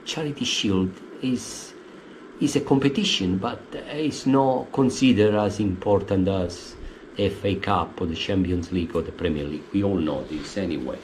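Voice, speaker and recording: A man's voice: moderate pace, a little hiss or hum, rough recording. A man in his forties with an Italian accent, giving opinionated football commentary from his living room with many hesitant fillers. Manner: hesitant, many uh fillers, animated pitch swings. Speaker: football fan vlogger. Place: living room at home, indoors.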